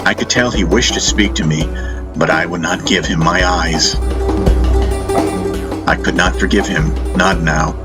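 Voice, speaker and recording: A man's voice: deep voice, a little slow, long pauses, loud music behind the voice. A man reading a fantasy audiobook as a dramatic first-person narrator, solemn and intense.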